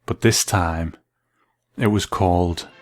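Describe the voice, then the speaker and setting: A man's voice: sits low, slow pace. A man hosting a podcast, delivering scripted documentary-style narration. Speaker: podcast host.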